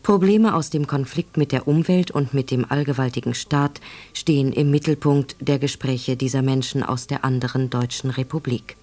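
A man's voice: medium pitch, moderate pace, a little mellow. A man reading a scripted broadcast introduction as a radio announcer.

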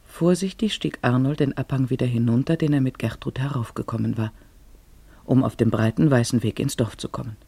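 A man narrating a literary radio play in a measured storytelling manner. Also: medium pitch, creaky, mellow, breathy edge, no echo.

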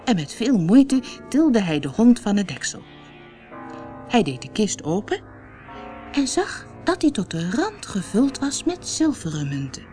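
A woman narrates a children's fairy tale with very lively intonation. Her reading is unhurried and expressive, in the manner of a recorded storybook. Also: medium pitch, faint music behind. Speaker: audiobook storyteller.

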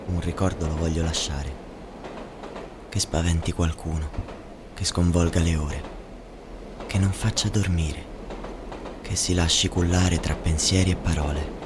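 An actor playing a grim, disillusioned student in a poetic radio monologue, with measured, incantatory phrasing, recorded over noisy ambience. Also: male voice, low-pitched, fairly even intonation. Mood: grim, questioning. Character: grim, accusing young man, disillusioned student.

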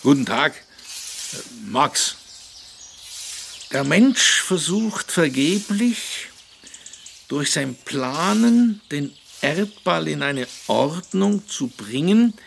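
Gravelly, slow-speaking old philosopher. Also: male voice, fairly high pitch, a bit bright, a little hiss or hum, full character voice. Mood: solemn. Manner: solemn, halting, oracular. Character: ponderous elderly male philosopher declaiming beside his hut. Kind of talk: radio play monologue.

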